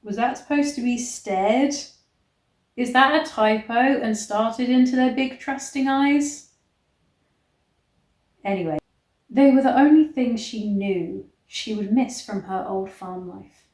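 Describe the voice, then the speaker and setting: A woman's voice: a little high, slow, a little mellow. A woman reading a novel aloud in a mock-dramatic way, breaking off for blunt, incredulous commentary. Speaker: online reader and commentator. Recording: roomy, echoey sound.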